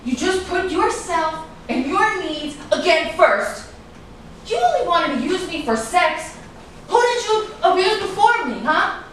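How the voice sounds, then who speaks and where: Actress as a high-voiced, indignant wronged woman, echoey. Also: sing-song, a little slow, full character voice. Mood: furious. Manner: accusing, emphatic, indignant. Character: wronged, defiant woman confronting her abusive partner. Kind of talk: staged play reading, dramatic dialogue. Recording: very echoey room, distant mic, faint noise.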